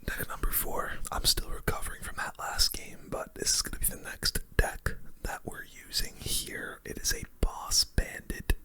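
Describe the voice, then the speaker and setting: A streamer giving gaming commentary in a hushed, unvoiced ASMR whisper, breathy and close to the microphone, with informal, slangy phrasing. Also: normal speed, hardly any pauses.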